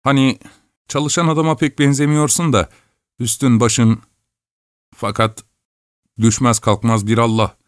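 A man's voice: steady tone, a little slow, long pauses, no room sound, lightly acted. A sturdy, naive young peasant lad, as a male reader puts him on talks in a raised, whining voice that keeps rising in complaint. The sound is close and dry. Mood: sulky. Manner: whiny, plaintive, rising pitch.

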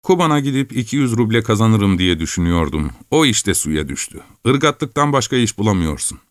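A man voicing a naive, sulky young peasant lad, in a higher, whiny, plaintive voice. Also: sing-song, fairly quick, dry recording, studio quality. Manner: whiny, plaintive, rising pitch.

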